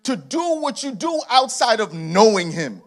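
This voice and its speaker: A man of Haitian heritage preaching a sermon. His delivery is emphatic, builds through shouted repetitions, and is broken up by laughing asides. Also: extremely high pitch, big pitch swings, unhurried.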